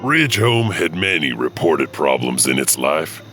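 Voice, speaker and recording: A man's voice: very deep voice, very animated, measured pace, sharp tone, faint music behind. A man narrating a horror anthology from a script as its host.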